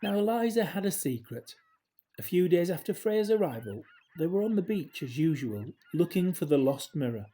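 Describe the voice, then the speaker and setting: A man reading a children's story aloud in a gentle, expressive way, with hushed dramatic drops. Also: a little high, moderate pace. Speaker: storybook reader. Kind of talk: scripted children's story narration, read aloud.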